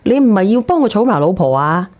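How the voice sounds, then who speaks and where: A woman's voice: mid-range voice, sing-song, fast, a little mellow. A woman giving blunt, scolding advice as a call-in show host, full of rhetorical questions.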